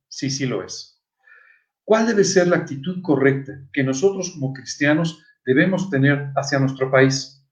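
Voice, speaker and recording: A man preaching a sermon in an earnest, didactic manner, often posing rhetorical questions. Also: mid-range voice. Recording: echoey room, dull muffled sound.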